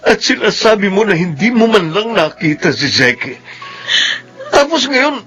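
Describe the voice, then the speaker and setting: A man's voice: sits high, sing-song, unhurried, fairly bright tone, faint music behind, exaggerated voice. A man acting a wounded, jealous husband in a Filipino radio drama, with impassioned delivery. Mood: anguished, tearful. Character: Clyde, wounded, jealous husband of an adulterous wife.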